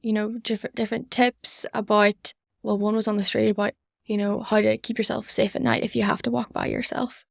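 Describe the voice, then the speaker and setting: A woman's voice: medium pitch, flat delivery, brisk, creaky, hardly any pauses, dry recording, clean studio sound. A young woman, chatting earnestly as an interview guest. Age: young adult. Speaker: podcast guest, student society organiser. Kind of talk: conversational interview answers.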